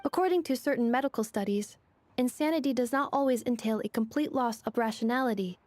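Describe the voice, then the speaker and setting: A woman's voice: fairly high pitch, quick pace, a little mellow, dry recording, natural voice. A woman voicing a logical robot analyst in an anime game, formal, clinical and precise. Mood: clinical, warning.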